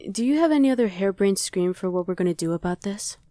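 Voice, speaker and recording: A woman's voice: medium pitch, expressive, fast, warm tone, dry recording, lightly acted. A woman playing a casual young female survivor of a demon invasion in an ASMR roleplay. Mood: casual, explaining. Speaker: voice actor.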